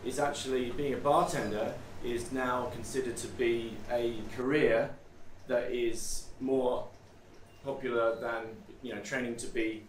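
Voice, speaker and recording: A middle-aged man with a British accent gives an informal masterclass talk from behind a bar. He feels his way through sentences, repeating words. His voice sits at a medium pitch and is picked up from a distance in a roomy, noisy space. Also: steady tone, unhurried. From United Kingdom. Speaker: bartender and artist giving a masterclass. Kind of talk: informal live talk to a group. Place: small bar, indoors.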